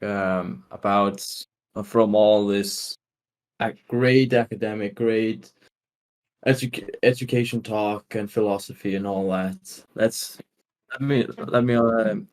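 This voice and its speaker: Male host, low voice, casual, stumbly, self-correcting. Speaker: student podcast host. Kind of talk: casual interview.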